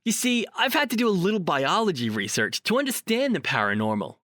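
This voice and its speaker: An actor playing a chatty, cocky male paranormal investigator in an audio drama, talking in a wordy, animated way. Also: sits high, fairly quick, no echo, studio quality, exaggerated voice. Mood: cocky, teasing. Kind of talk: audio drama dialogue acting.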